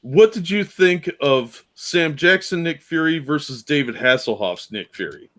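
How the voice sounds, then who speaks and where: A man's voice: a little high, expressive, a little slow, fairly bright tone. A man hosting a casual podcast conversation. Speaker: podcast host. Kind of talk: casual chat.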